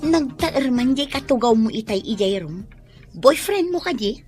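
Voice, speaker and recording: A sassy, high-voiced young student. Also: female voice, very animated, normal speed, darkish tone, faint music behind. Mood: teasing. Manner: playful, exaggerated. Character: nosy, teasing, sassy young female student. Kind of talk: Ilocano radio drama acting.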